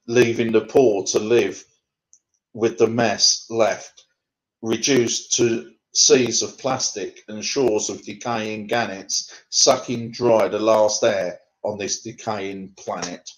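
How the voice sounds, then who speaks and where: A man's voice: on the low side, fairly even intonation, slow pace, rough recording. A middle-aged man from England, a poet reading his rhyming verse on a video call, then answering questions in a conversational way with fillers. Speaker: poet guest. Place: home room.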